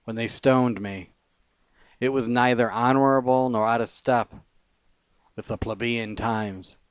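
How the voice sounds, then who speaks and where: A man reading his own poetry aloud as spoken word, phrasing it deliberately in short lines with pauses between them. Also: mid-range voice, fairly even intonation, clear, resonant tone, no echo. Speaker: poet.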